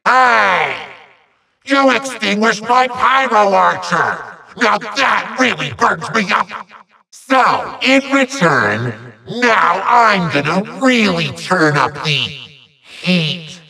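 Small evil sorcerer for a video game: high-pitched, wildly swooping, petulant and gloating, hammy theatrical threats. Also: male voice, animated, slow, gravelly, bright, forceful tone, husky, recorded from a distance, full character voice, poor audio. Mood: petulant, outraged.

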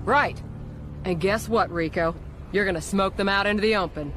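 An actor playing a brisk, tough, dry agent ally in a video game cutscene. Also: male voice, high voice, fairly bright tone, a little hiss or hum.

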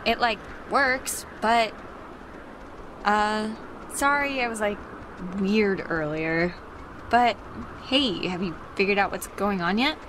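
A woman's voice: mid-range voice, takes it slowly, close to an everyday voice. An American woman playing a timid, worried, apologetic teenage girl in a video game. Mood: awkward. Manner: hesitant. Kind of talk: naturalistic video game dialogue. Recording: noisy background ambience.

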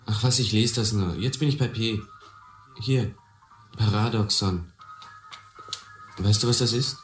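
A man's voice: on the low side, unhurried, lightly acted. An actor playing a blunt, ambitious young man from the harbour in a radio play, talking colloquially and cockily. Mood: probing, blunt. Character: streetwise, hungry, ambitious harbour youth. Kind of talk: German radio play acting. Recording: roomy, music behind.

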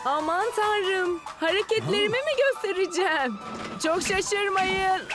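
A woman's voice: very high-pitched, a little slow. A woman voicing a playful, showy work vehicle in a children's cartoon dub, with a chanting, repetitive way of talking. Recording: loud music behind the voice.

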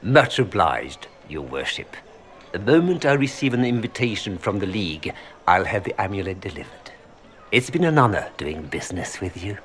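An actor playing a suave, calculating merchant in a video game, speaking formally with a French accent. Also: male voice, low-pitched, big pitch swings, normal speed, fairly bright tone. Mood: courteous, satisfied. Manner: courtly, formal, smoothly unapologetic. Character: suave, calculating Orlesian merchant seeking noble influence. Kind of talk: video game dialogue acting.

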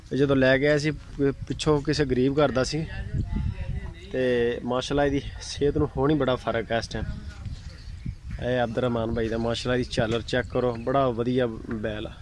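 A man with a Pakistani Punjabi accent giving a casual walking tour of his cattle farm outdoors, talking plainly and sprinkling in religious phrases. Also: medium pitch. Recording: outdoor phone recording, background noise.

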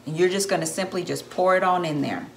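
A woman in her thirties talks through a recipe in a relaxed, chatty way, her voice a little low for a woman. Her home kitchen echoes around her and the camera sits back on the counter. A faint room hum runs under her voice. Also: fast, creaky. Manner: chatty, explains steps to viewers. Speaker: home baker, channel host. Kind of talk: casual cooking demonstration. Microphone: camera on the counter, at arm's length.

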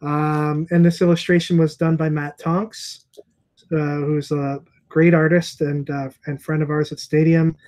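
A man's voice: a little high, measured pace. Chatty bearded man on a video call. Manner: relaxed, chatty, many fillers. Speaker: comic shop owner and host. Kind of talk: casual video call chat. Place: room with comic books on shelves. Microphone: earphone headset on video call.

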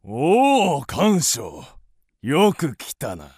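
A man playing a scheming, imperious ancient Chinese king, pompous and deliberate, in a radio drama. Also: a little high, huge pitch swings, darkish tone, slightly rough, no echo, clean studio sound, exaggerated voice. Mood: sly.

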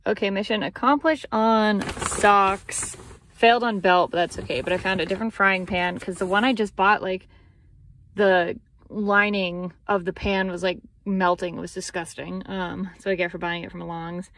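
A woman's voice: medium pitch, fairly even intonation, normal speed, a bit bright. Woman, thirties, casual rambling vlogger in a car on a phone camera, faint vehicle noise. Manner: chatty, rambling with lots of fillers. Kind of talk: casual vlog chat. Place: parked car. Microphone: phone camera at arm's length.

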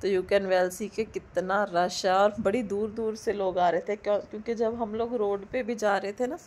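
A woman in her thirties with a hoarse throat from a cold, chatting loosely in a casual vlog voice-over from home and mixing in English words. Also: medium pitch, fairly even intonation, moderate pace, a little mellow. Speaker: vlogger. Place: indoors at home, recording the voice-over. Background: a dog barking now and then.

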